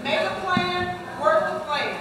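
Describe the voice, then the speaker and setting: A woman's voice: extremely high pitch, slow pace, pushed and bright, a little hiss or hum. A woman participant with a big, emphatic voice, laughing as she speaks. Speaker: workshop participant. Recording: distant voice in echoey hall with crowd.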